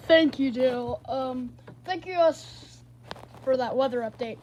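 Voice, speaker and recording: A woman reading emergency weather warnings as a broadcast presenter, haltingly and with stumbles. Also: high voice, a little mellow. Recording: very noisy, hum and sirens behind.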